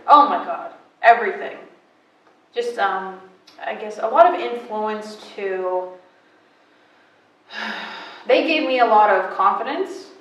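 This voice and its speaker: A woman's voice: mid-range voice, takes it slowly, pauses often. Chatty woman in her twenties, echoey room. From western Pennsylvania. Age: 20s. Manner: chatty, animated storytelling with many fillers. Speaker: guest. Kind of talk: casual interview. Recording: echoey room, mic at a distance.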